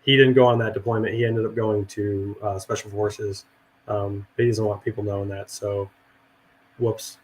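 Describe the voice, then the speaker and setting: A middle-aged man with a hoarse, raspy voice from a cold, rambling and swearing casually in a relaxed chat. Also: fairly low pitch, moderate pace, slight background noise, low-quality recording. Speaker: veteran guest and actor.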